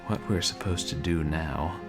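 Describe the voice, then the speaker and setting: A man streaming a video game, chatting casually and soft-spoken with viewers, often chuckling. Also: sits low, normal speed. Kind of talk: casual let's-play chat. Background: game music.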